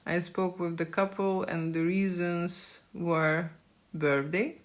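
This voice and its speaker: A woman's voice: on the low side, fairly even intonation, slow pace. A woman with a Lithuanian accent, talking on a live stream and ending many phrases with "okay?". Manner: frequent "okay?" tag questions. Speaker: boudoir photographer. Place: studio in London.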